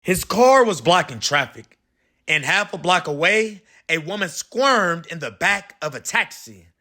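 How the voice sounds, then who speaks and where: A man reads a children's picture book aloud with animated, expressive storytelling. His voice sits high and swoops freely, bright and forward. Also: unhurried. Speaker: read-aloud host.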